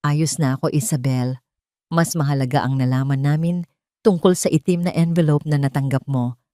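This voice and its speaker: A grave lawyer, played by a woman narrator speaks in a low, dry voice. He is steady, serious and protective, as in an audio drama. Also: moderate pace, dry recording, lightly acted. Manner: serious, switches between Tagalog and English.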